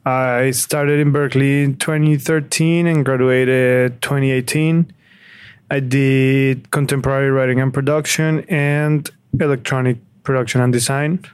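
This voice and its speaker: A man's voice: medium pitch, level tone, unhurried, clean tone, dry recording. A young man from Colombia, a sound designer and producer, talking in an easygoing, slightly hesitant way. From Bogotá, Colombia. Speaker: music producer, guest.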